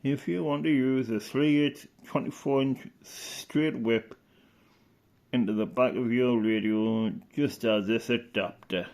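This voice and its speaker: A man giving casual, rambling commentary as he reads through an old catalogue, hesitant and thinking aloud. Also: fairly low pitch. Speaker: video presenter.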